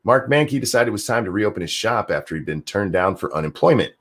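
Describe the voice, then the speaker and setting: A man hosting a podcast, chatting casually and reading news articles aloud with a jokey, animated delivery. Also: lowish voice, quick pace. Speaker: podcast host.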